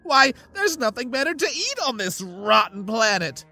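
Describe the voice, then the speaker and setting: A man voicing a manic, sadistic talking sardine can in comedic audio fiction, shrill and strained, mocking and foul-mouthed. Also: very animated, normal speed, sharp tone, faint music behind, heavily acted. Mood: indignant, incredulous.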